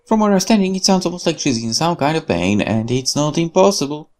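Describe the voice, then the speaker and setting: A man whose first language is Polish, hosting a let's play and reading a horror visual novel aloud with lively asides and self-corrections. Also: on the high side, brisk.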